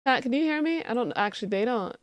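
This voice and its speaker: A woman's voice: a little high, expressive, fast, dry recording. A woman streaming live who says she just woke up and has a sleepy voice, chatting casually and thanking her viewers.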